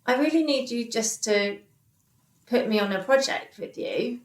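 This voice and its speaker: A woman's voice: a little high, expressive. A woman in her thirties, a podcast guest telling a personal story with many restarts and fillers. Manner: halting, many restarts and fillers, quotes others. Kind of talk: candid interview storytelling. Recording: echoey room.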